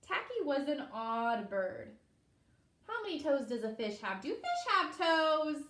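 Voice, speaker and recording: A woman preschool teacher reading a story aloud to young children, expressively, pausing to explain and ask simple questions. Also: high voice, very animated, a bit bright. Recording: echoey room.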